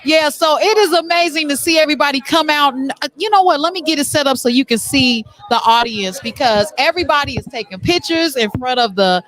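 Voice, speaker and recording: A woman's voice: high voice, brisk, hardly any pauses, fairly bright tone, poor audio. A woman talk show host chatting informally and playfully as she interviews people on location. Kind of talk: casual on-location interview chat. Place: on location backstage at a theater. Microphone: handheld or stand mic with headsets.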